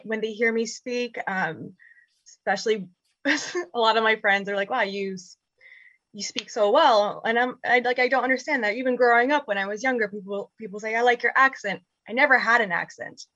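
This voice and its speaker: A young woman whose first language is Spanish, reflecting candidly and casually in a group discussion, thoughtful and halting, with easy laughter. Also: mid-range voice, fairly even intonation, sharp tone, no echo, lo-fi sound. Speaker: panel guest.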